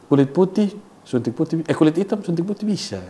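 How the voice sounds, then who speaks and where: Fast-talking man with a Soe accent. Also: a little high. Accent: Soe, Timor (Kupang Malay). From Soe, South Central Timor, Indonesia. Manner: relaxed, rambling, joking, regional slang. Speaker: interview guest, YouTuber. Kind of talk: casual interview chat.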